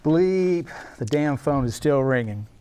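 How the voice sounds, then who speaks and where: A man's voice: on the high side, expressive, slow, mellow, smooth. A man narrating screenplay action and scene headings at a table read, in a scripted, dramatic style.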